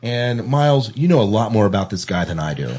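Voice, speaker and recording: A man's voice: on the low side, very animated, brisk, a little mellow, slightly rough. A man hosting a podcast in a casual, informal, chatty way. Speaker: podcast host.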